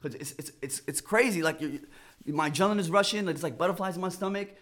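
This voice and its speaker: A man's voice: high voice, quick pace, a bit bright. A man in his twenties from New York, a podcast guest chatting casually and rambling with many stammered restarts. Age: 20s.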